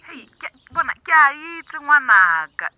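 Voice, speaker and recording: A woman playing a soft-hearted, generous auntie in a radio drama, with a Botswana accent and homely, hesitant protests. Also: high-pitched, sing-song, normal speed, highs cut off, a little hiss or hum. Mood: defensive, pleading. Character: soft-hearted, generous middle-aged Botswana auntie, easygoing about money.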